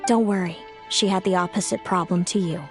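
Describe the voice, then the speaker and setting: A woman's voice: fairly low pitch, natural voice. A woman voicing a bitter, resentful fae sister. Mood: explaining. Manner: cool, bitter, measured. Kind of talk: game voice acting. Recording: game audio with faint music.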